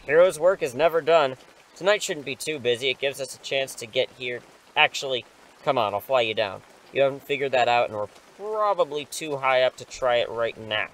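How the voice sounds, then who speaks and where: A man acting in an audio drama as an impulsive, excitable superhero mentor, rambling and reassuring, sheepish when challenged. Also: medium pitch, brisk, slight background noise, close to an everyday voice, poor audio. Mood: encouraging, instructive.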